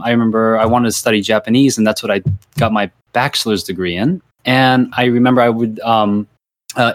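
A man's voice: on the low side, no echo. A man from Virginia whose first language is Thai, a university lecturer giving rambling casual interview answers full of fillers and restarts. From Virginia, USA.

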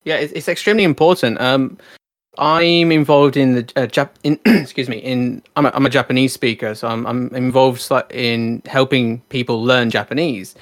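A man's voice: mid-range voice, steady tone, moderate pace, no room sound, studio quality. A man hosting a podcast interview, speaking casually with fillers and often affirming his guest. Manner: friendly, uses many fillers and affirming backchannels. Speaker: podcast host.

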